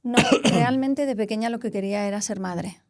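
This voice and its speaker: A woman in her forties from Castilla-La Mancha, a psychologist speaking in a relaxed interview, explaining with examples. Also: medium pitch, moderate pace, slight background noise. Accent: Spanish from Castilla-La Mancha. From Spain. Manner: reflective, explains with examples and rephrases. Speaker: psychologist guest. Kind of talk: conversational interview.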